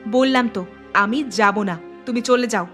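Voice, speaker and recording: Lady doing a wronged young wife in a cartoon drama, highish voice, bitter and indignant, music under it. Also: moderate pace, fairly bright tone, lightly acted. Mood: firm, bitter. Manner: accusing, indignant, emphatic. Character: wronged, bitter young daughter-in-law, unforgiving new mother. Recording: music behind the voice.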